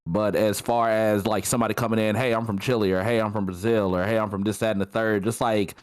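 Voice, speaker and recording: A man's voice: lowish voice, steady tone, fast. A man who streams on Twitch, chatting on a podcast in a laid-back way with trailing phrases. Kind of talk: casual chat.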